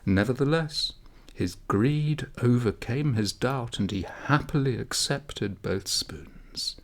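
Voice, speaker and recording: A man reading a comic folk tale aloud as a storyteller, in a measured, expressive way. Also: medium pitch. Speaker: audiobook reader, storyteller.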